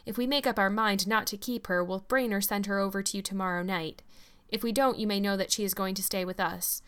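A stern, reserved middle-aged spinster, voiced by a woman reading an audiobook, who picks her words carefully. She speaks in a measured, dry tone. Her voice sits low-key and quiet. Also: steady tone, clear, resonant tone, lightly acted.

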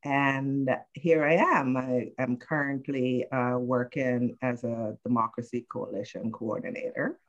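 A woman in her fifties from Guyana, a panel guest telling her life story in a measured, reflective way with frequent "uh" pauses. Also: extremely low pitch, steady tone, muffled recording.

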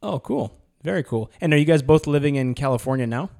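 Friendly, curious podcast host. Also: male voice, medium pitch, brisk, creaky, dark tone. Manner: friendly and curious, with many restarts. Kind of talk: casual interviewing.

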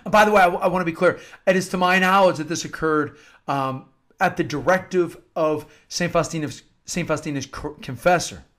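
High-voiced American man preaching earnestly. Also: fairly bright tone. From United States. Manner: earnest, emphatic, repeats phrases for emphasis. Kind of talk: informal devotional talk with scripture-like readings.

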